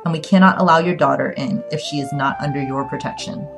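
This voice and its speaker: A woman's voice: deep voice, quick pace, vocal fry, music in the background. A woman voicing a formal, unyielding male immigration official speaking through a translator in an audiobook.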